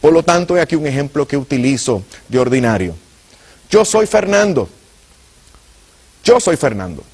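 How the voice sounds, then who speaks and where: Husky Puerto Rican preacher man. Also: a little high, big pitch swings, normal speed, long pauses, a little mellow. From Puerto Rico. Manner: earnest, addresses viewers directly. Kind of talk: apologetics teaching.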